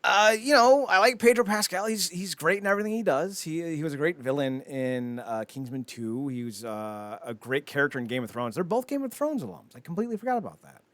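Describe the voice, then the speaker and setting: A man's voice: fairly high pitch, expressive, a little fast, hardly any pauses, fairly bright tone, dry recording. A man co-hosting a casual pop-culture podcast, rambling and swearing freely as he chats. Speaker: podcast co-host.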